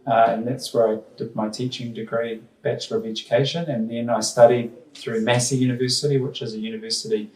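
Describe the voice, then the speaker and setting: A man's voice: medium pitch, level tone, fairly quick, few pauses, darkish tone. New Zealand man, echoey and far off. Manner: thoughtful, hesitant with many ums and restarts. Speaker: school principal. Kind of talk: casual interview answers. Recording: roomy sound from far off the mic.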